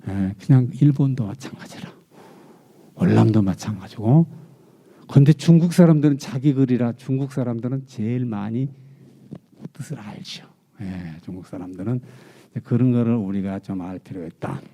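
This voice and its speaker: A man's voice: mid-range voice, moderate pace, warm tone. A male Buddhist monk giving a dharma talk, explaining eagerly with rhetorical questions and repeated key words. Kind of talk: sermon. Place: temple dharma hall.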